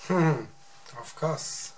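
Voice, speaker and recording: A man's voice: fairly high pitch, expressive, very slow, a little hiss or hum. A man in his thirties whose first language is Swiss German, speaking English in a casual chat and hesitating over his words. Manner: hesitant, searching for words, repeats himself. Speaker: husband guest. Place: small bedroom, indoors.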